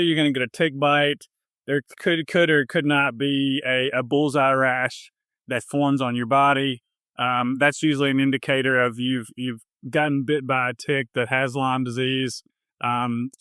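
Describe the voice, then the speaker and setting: Bright, clear Southern American man. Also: medium pitch, dry recording, clean studio sound. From southern United States. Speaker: health coach, podcast guest. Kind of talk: personal storytelling in a casual interview.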